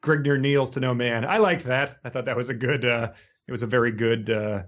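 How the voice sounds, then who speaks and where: A man's voice: mid-range voice, fairly even intonation, normal speed, a bit bright, dull audio. A man co-hosting a casual book discussion, joking wryly as he comments. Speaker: podcast co-host.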